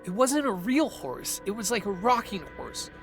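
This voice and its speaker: Nervy young male gamer, played by a man, cautious friend, animated pitch, naturalistic audio drama, music underneath. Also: normal speed. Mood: panicked.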